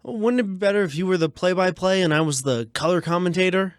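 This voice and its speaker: A man's voice: high voice, quick pace, clean tone, no echo. A man co-hosting a radio show in scripted comedic banter, nitpicking and delivering dry quips.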